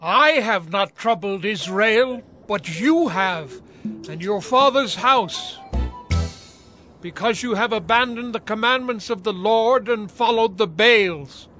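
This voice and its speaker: Fervent prophet for audio Bible drama: high voice, slow, stern declamation. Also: male voice, animated, bright, forceful tone, full character voice. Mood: stern, resolute. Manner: solemn, declamatory. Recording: music under the voice.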